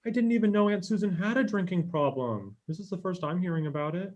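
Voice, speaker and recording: Man playing an earnest, high-voiced young guy. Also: fast talker, darkish tone, dull audio. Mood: exasperated. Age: young adult. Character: anxious, eager-to-please 24-year-old man. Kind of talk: table read acting. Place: room at home, indoors. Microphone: video call.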